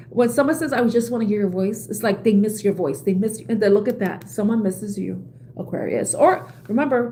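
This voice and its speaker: A woman giving a casual tarot reading, chatty and quick to laugh at herself, often pausing and correcting her words. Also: fairly high pitch, a little mellow, slight background noise. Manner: chatty, laughs at own jokes, self-correcting. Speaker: tarot reader.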